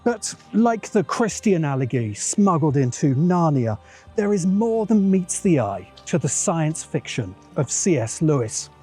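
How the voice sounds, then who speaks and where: A man's voice: a little high, very animated, a little slow, mellow, husky, faint music behind. A man hosting a science fiction channel, giving a scripted video essay narration in a dramatic, musing way with dry asides.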